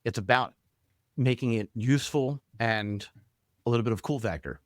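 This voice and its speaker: A man's voice: mid-range voice, normal speed, no echo, studio quality. A man hosting a podcast, talking casually, restarting his words, and asking guests follow-up questions. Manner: conversational, repeats words, asks follow-up questions. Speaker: podcast host.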